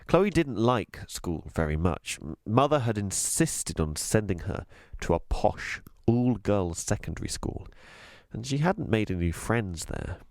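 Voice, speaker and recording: A man's voice: lowish voice, no room sound. Male storybook reader, theatrical, wildly varied intonation, dry close sound. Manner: theatrical, expressive. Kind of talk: scripted children's story narration.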